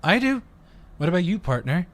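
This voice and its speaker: A man's voice: sits high, sing-song, a little fast. A man playing an eager, friendly undercover agent in an audio roleplay, talking in a polite, chatty way. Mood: earnest, polite.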